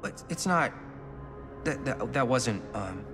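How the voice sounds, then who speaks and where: Earnest young man, American accent, mid-range voice, awkward, halting, naturalistic game dialogue, music behind. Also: mid-range voice, animated. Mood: awkward, hesitant. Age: young adult. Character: earnest, awkward young amateur filmmaker with a girlfriend. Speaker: video game character. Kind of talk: naturalistic game cutscene acting.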